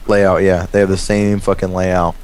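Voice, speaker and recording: A young American man telling a profane, rambling story casually to friends, animated when he acts out quotes. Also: low voice, normal speed, smooth. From United States. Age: young adult. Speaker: storyteller among friends playing a game. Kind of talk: casual profane storytelling over game voice chat. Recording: noisy game voice chat recording.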